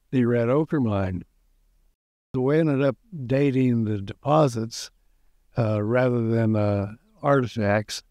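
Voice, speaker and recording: An older man with an American accent speaks haltingly in a documentary interview, pausing mid-sentence as an archaeologist explaining fieldwork. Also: mid-range voice, a little mellow, no echo, studio quality. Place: outdoors at a dig site. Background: music.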